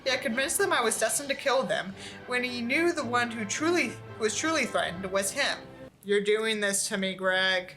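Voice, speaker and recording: A woman with a bright, forceful and fairly high voice reads a story aloud. Her delivery is expressive and sarcastic, with an exasperated edge, and music plays underneath. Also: normal speed, low-quality recording. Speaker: book reviewer reading aloud with commentary. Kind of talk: audiobook-like reading with sarcastic asides.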